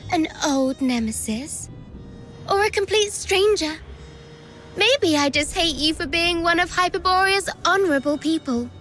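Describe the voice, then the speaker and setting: A gleeful young villainess taunts in a high, bright voice. She drawls each sarcastic line slowly and theatrically, with faint game music behind. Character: mocking treacherous young woman villain.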